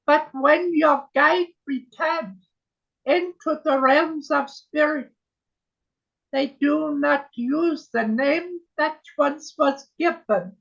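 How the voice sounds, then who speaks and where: Gentle, high-voiced female spirit, voiced by a trance medium: slow, archaic, solemn, muffled call audio. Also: vocal fry, exaggerated voice. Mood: solemn, tender. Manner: slow, solemn, archaic phrasing, keeps saying my dear. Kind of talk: trance channeling on a video call. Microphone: video call.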